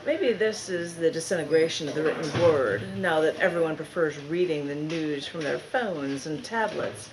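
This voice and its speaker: A woman with a fairly low voice reads her poetry to a live gathering. Her delivery is deliberate and dramatic, lingering on key words for emphasis. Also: a crowd in the background, low-quality recording. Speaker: poet reading own work. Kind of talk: live spoken-word poetry reading. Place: live reading in a large bookstore.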